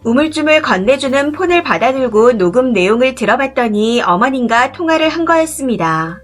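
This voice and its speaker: Woman reading a story over soft music. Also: high-pitched. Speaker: story narrator. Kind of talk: scripted reading of a first-person story. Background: faint background music.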